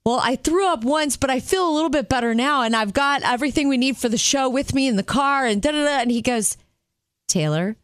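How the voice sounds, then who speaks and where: Woman cohost on the radio telling a story, animated, does the voices, higher pitch, dry mic. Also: brisk, no echo. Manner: animated, acts out quotes. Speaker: radio show co-host. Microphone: studio microphone.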